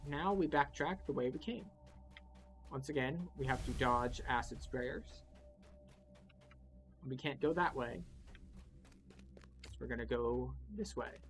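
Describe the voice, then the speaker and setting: A man's voice: medium pitch, slow pace, pauses often, a bit bright. A man giving casual, wry, explanatory commentary as he guides a video game playthrough. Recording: game music behind the voice. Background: video game music.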